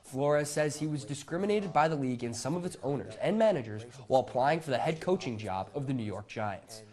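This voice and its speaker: A man's voice: medium pitch, lively intonation, fairly quick, a little mellow. A young American man delivering broadcast news as a student TV reporter, in a formal announcer-like manner. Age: young adult.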